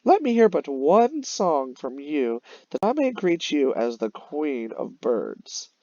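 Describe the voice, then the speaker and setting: A man voicing a sly, flattering storybook fox with exaggerated, drawn-out sweet talk. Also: fairly high pitch, dry recording, lo-fi sound, voice pushed up, heavily acted. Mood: gushing flattery.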